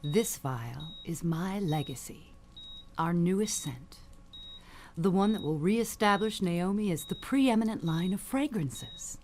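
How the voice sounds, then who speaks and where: A woman playing an elderly, dying perfume matriarch in an audio suspense drama, genteel, measured and sly, with cutting endearments. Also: on the low side, lively intonation, a little mellow, a little hiss or hum. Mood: wistful, scheming.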